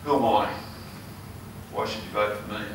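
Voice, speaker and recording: An older Australian man with a hoarse, failing voice, giving an earnest campaign speech full of ums and uhs. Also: lowish voice, steady tone, normal speed. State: hoarse, losing his voice. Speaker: election candidate. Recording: echoey hall, mic far off, noisy.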